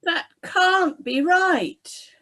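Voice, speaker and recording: A woman voicing a troubled, conscience-stricken male Roman soldier, speaking slowly and earnestly. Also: on the high side, very animated, exaggerated voice. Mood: distressed.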